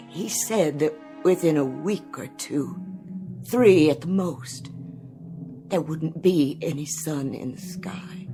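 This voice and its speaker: A woman with an American accent playing a kindly, solemn older woman in a radio drama, speaking haltingly and tenderly. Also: sits low, dark tone.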